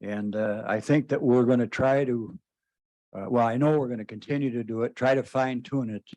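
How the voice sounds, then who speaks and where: A man chairing a county board meeting, speaking in a loud voice with frequent halting fillers. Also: medium pitch, steady tone, lo-fi sound.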